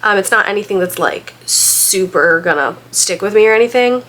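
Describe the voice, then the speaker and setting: A woman's voice: medium pitch, expressive, moderate pace, fairly bright tone, slight background noise, rough recording. A woman vlogger getting over a head cold and still congested, chatting casually in a rambling way with lots of filler words. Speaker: book vlogger. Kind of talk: casual vlog chat.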